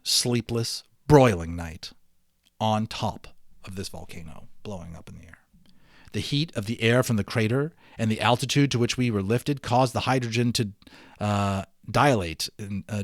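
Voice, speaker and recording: A man narrating a children's novel in a dramatic, emphatic read-aloud style, sometimes dropping softer to explain things to listeners. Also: fairly low pitch, dry recording, clean studio sound. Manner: expressive, emphatic, with hushed drops.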